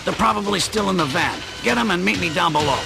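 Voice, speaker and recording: An actor playing a bossy, streetwise older-brother plumber with a gravelly voice in a radio play. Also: male voice, high voice, animated, quick pace, music in the background, exaggerated voice. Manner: brash, wisecracking, streetwise.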